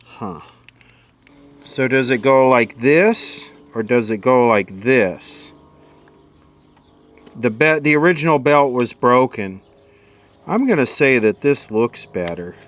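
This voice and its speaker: Man, unhurried, grumbling repair commentary, medium pitch, faint background noise. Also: lively intonation. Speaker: host, electronics repairer. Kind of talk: casual hands-on repair commentary, thinking aloud. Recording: clear background noise and hiss.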